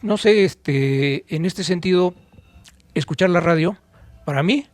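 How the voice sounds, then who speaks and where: Higher-voiced Mexican man, dry close sound. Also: no room sound. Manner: didactic, with pauses and rhetorical questions. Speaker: podcast co-host and audio expert. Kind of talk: informal explanatory podcast talk.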